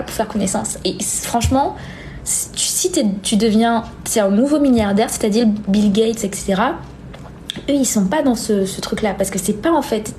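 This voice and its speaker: A woman's voice: medium pitch, warm tone, slight background noise. A young woman hosting a live stream, chatting casually as she reads out and answers viewer comments in an informal, hesitant way, often repeating herself. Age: young adult.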